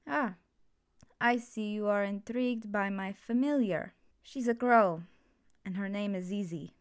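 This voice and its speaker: Stern female magic professor for ASMR fantasy roleplay acted by a woman; measured and commanding. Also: mid-range voice, lightly acted. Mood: instructive.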